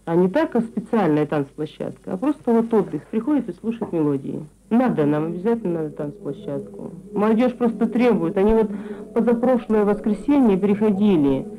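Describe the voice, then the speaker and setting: A woman's voice: mid-range voice, lively intonation, brisk. A woman worker being interviewed, talking unscripted in a plain colloquial way and repeating herself. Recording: tinny narrow-band field tape.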